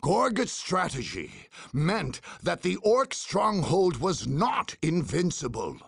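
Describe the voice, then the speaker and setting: An actor narrating video game cutscenes as a grave, theatrical chronicler of far-future war, in a husky, gravelly voice with deliberate delivery. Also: male voice, high-pitched, sing-song, no room sound, studio quality, full character voice. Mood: solemn, dramatic.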